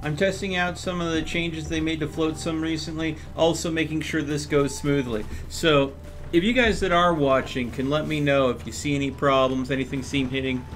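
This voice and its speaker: A man's voice: fairly high pitch, steady tone, moderate pace, a bit bright. A man streaming a video game, giving casual commentary as he talks to himself and chats to viewers.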